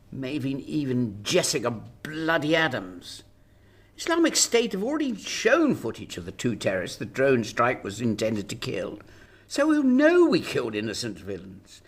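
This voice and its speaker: An actress plays a cornered woman Prime Minister in a radio drama. Her husky voice swings widely in pitch as she interrogates, sarcastic and emphatic, with an anxious, angry edge. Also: exaggerated voice.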